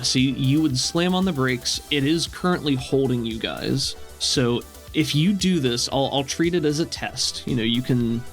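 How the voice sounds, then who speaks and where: A man running a tabletop game as game master, talking through rules casually and encouragingly. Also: mid-range voice. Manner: encouraging, affirming.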